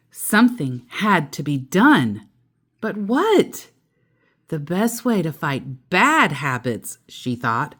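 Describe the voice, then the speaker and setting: A woman storyteller reading a children's picture book aloud expressively, drawing out key words and adding playful asides. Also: low voice. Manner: animated, drawn-out emphasis with playful asides.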